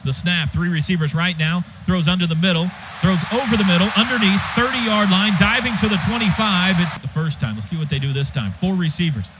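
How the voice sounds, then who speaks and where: Guy calling football on the radio, high voice, clipped phrases, dull sound, lots of noise behind. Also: brisk. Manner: clipped running description. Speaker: play-by-play announcer. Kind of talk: live radio football commentary. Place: stadium radio booth. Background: clear crowd and stadium noise.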